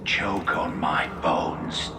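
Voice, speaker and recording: An actor playing a dying pagan warrior who defiantly serves ancient gods. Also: male voice, extremely slow, noisy background. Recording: reverberant hall-like game audio. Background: music.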